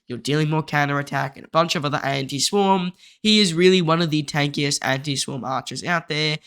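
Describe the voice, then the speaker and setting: A man's voice: on the high side, clean tone. Congested man explaining a game guide. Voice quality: nasal. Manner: steady, methodical explaining. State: congested, blocked nose.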